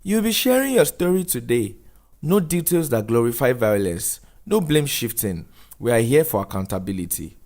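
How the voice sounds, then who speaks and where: A man voicing a courteous but firm female social worker in her fifties, measured and admonishing, in a drama. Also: mid-range voice, very animated, darkish tone, natural voice.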